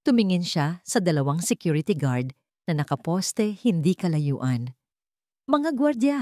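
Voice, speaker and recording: A woman storyteller reading a scripted dramatic tale in a measured delivery with deliberate pauses. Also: sits low, animated, dark tone, no room sound, studio quality.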